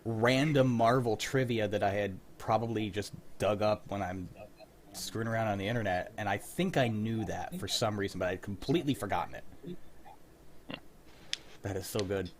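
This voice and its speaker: A man's voice: lowish voice, moderate pace, slight background noise. A man hosting a livestream, chatting casually in slangy, enthusiastic pop-culture fan talk. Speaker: host of a livestream script-reading show. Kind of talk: casual chat.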